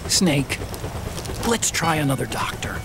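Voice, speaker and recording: An actor playing a gentle, earnest scientist in a video game, soft-spoken, hesitant and concerned. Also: male voice, fairly high pitch, sing-song, unhurried, loud music behind the voice. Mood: worried. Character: gentle, worried male scientist friend, bespectacled and earnest. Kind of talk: cinematic video game acting. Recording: loud helicopter and ambient noise.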